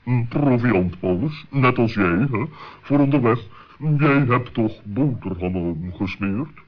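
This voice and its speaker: A man's voice: medium pitch, expressive, slow pace. A man playing a pompous, greedy, easily offended owl who talks in wordy, hemming phrases in a children's radio play. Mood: evasive. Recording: dull old radio play recording.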